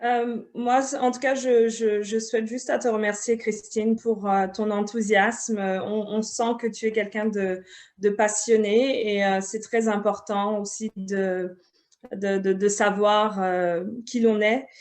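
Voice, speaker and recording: A woman's voice: mid-range voice, little pitch movement, a little slow, a little mellow. Congolese-born woman on a video call panel, hesitant, lots of euhs and restarts. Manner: hesitant, many restarts and fillers. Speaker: panel guest, community leader. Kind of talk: online panel discussion.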